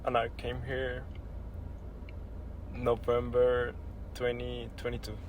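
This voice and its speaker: A man's voice: medium pitch, monotone, takes it slowly, slight background noise, low-quality recording. A young adult man from Venezuela, a native Spanish speaker, giving brief casual answers as a podcast guest.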